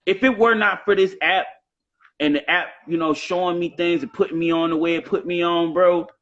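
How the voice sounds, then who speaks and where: A man's voice: sits high, fairly even intonation, moderate pace, fairly bright tone, dull audio. A man in his late thirties with a Southern US accent, talking casually and emphatically on a live stream. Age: 30s. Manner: emphatic, repetitive, heavy swearing. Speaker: rapper on a live show. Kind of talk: casual chat.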